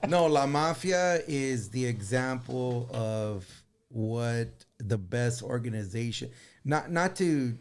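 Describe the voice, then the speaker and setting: A male musician speaking as a guest in a casual conversation. His voice sits at an average pitch, and he makes his points in an earnest, emphatic way. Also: expressive, slow, slight background noise. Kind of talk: casual chat.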